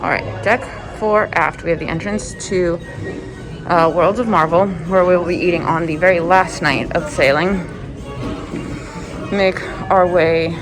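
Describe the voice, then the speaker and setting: A woman's voice: lowish voice, fairly even intonation, unhurried, music in the background, poor audio. Chatty woman guiding a walking tour. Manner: chatty guide pointing things out. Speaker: vlogger giving a ship tour. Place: inside a cruise ship, walking.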